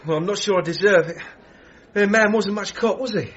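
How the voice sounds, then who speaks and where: A man's voice: high voice, normal speed, a bit bright. A man playing a modest, reserved boxer in a radio drama, understated and deferential. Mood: doubtful, modest. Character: modest, reserved working-class English boxer. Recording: muffled radio drama, faint music.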